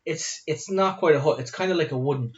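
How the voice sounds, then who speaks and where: A man's voice: a little high, fairly quick, lo-fi sound. A man with an Irish accent, chatting casually as the co-host of a podcast. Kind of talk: casual chat.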